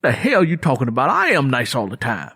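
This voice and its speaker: A man's voice: medium pitch, huge pitch swings, fairly quick, bright, forceful tone, breathy edge, heavily acted. Man acting out a bitter, hot-tempered farmer. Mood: scornful. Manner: combative, folksy rural speech. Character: proud, bitter, hot-tempered young farmer who drinks. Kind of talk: dramatised audiobook dialogue.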